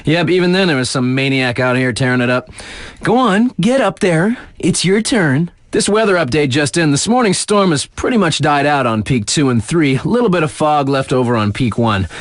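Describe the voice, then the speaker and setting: A man playing a laid-back, thrill-loving snowboard radio DJ in a video game, reading casual mountain weather updates with slang and playful dramatic pauses. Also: a little high, animated, no room sound, studio quality, close to an everyday voice. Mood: upbeat, easygoing.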